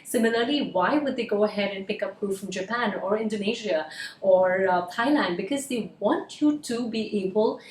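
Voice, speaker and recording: A woman's voice: mid-range voice, moderate pace, distant mic, a little hiss or hum. Earnest Indian-born woman, echoey room. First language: Hindi. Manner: earnest, many "uh" fillers. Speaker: cabin crew interview coach. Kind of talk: casual advice vlog. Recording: roomy echo, voice a bit off-mic.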